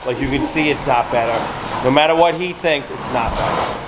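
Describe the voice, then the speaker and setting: A man's voice: medium pitch, fairly quick. A man, a mechanic hosting a vlog, telling casual, rambling stories about shop work. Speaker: mechanic and storytelling host. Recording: noisy workshop, steady background hum.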